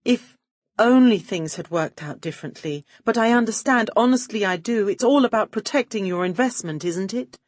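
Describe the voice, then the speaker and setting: A woman playing a sly female detective in an audiobook, feigning sweet helplessness in a coaxing, drawn-out British delivery. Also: medium pitch, expressive, no echo, studio quality, voice pushed up, natural voice. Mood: coaxing, fawning.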